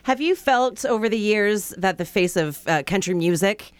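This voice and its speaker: A woman's voice: medium pitch, lively intonation, normal speed, clear, resonant tone. A woman asking conversational questions as an interviewer.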